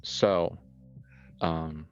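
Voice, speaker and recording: A man's voice: very deep voice, steady tone, very slow, clear, resonant tone, soft background music. A man giving wry narration in a tabletop roleplaying game, recorded with a dull sound.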